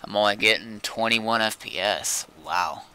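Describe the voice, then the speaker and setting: A man's voice: lowish voice, measured pace, pushed and bright, clean tone. A man streaming gameplay, chatting casually and in a laid-back way with viewers and a friend. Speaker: game streamer. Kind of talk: casual livestream gameplay chat.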